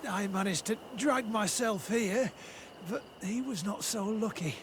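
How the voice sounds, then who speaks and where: A man's voice: sits high, measured pace, noisy background. A man playing a wounded, shaken soldier in a video game, strained and pleading. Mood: weak, shaken. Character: wounded male soldier, frightened survivor of a massacre.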